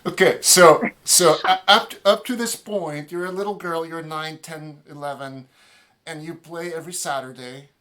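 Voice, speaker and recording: A man's voice: fairly high pitch, normal speed, bright, forceful tone. A man interviewing a guest over a video call for a youth orchestra, asking eager questions with fillers and restarts.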